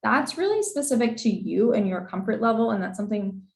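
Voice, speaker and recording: A woman's voice: on the high side, animated, a little fast, gravelly, roomy sound. A young woman who is losing her voice, presenting an informal info session in a chatty way with many fillers. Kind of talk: informal advice talk with slides.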